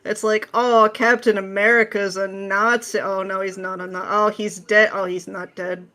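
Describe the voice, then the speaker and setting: A woman's voice: medium pitch, moderate pace. Sarcastic, playful woman in casual chat. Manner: animated, sarcastic, playful mimicry. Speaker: podcast guest, script writer. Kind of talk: casual pop culture chat.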